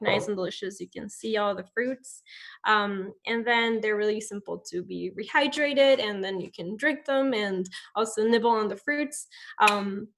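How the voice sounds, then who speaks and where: A young woman from Ecuador speaks from home on a video call as a startup founder. Her voice is on the high side. She explains her product informally, in halting phrases that often restart. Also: fairly bright tone. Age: young adult. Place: indoor room at home. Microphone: laptop video call.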